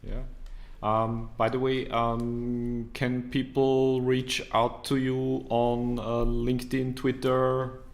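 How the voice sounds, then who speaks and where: A man's voice: mid-range voice, completely flat delivery. A man moderating a live online Q&A, reading out viewer comments in an easygoing, joking way. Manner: slow and deliberate, joking, easygoing. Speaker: event moderator.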